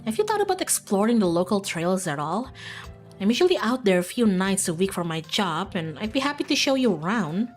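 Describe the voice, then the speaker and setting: A woman's voice: mid-range voice, very animated, a little fast, lightly acted. A woman voicing a friendly, easygoing young small-town woman in a visual novel, chatty and upbeat. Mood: friendly, helpful. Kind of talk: visual novel character reading. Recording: music in the background.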